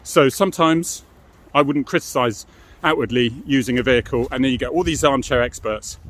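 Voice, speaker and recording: A man's voice: medium pitch, moderate pace, a bit bright. A middle-aged British man giving an informal, explanatory monologue as a former police officer, frank and matter-of-fact, often adding tag questions.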